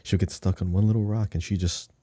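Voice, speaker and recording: Deep, mellow male podcast co-host. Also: fairly even intonation, fast talker, no room sound, clean studio sound. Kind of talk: casual gaming podcast chat.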